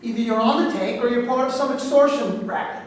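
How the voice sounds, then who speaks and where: A woman's voice: a little high, brisk, natural voice. Woman acting pushy reporter, very echoey room. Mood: insistent. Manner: insistent, questioning. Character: pushy, excitable newspaper reporter chasing a scoop. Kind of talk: staged reading of a play. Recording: distant, very echoey room with noise.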